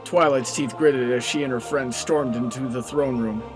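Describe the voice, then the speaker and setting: A man's voice: mid-range voice, steady tone, moderate pace, soft background music. A man narrating a fan fiction story in a scripted storybook style.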